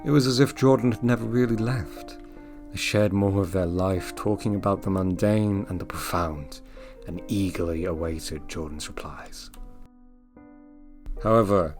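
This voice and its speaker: An actor playing the narrator of an audio drama, telling a solemn story in a measured, dramatic way. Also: male voice, on the low side, faint music behind, lightly acted. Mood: solemn, intrigued.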